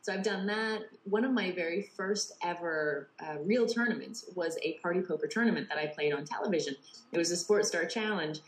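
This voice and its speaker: A woman's voice: lowish voice, fairly quick. A Canadian woman with a slight English tinge to her accent, chatting freely as an interview guest with lots of filler words. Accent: Canadian with English traces. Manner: chatty, many "you know" and "like" fillers. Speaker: interview guest, poker TV presenter. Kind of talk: casual interview chat. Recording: echoey room with faint noise.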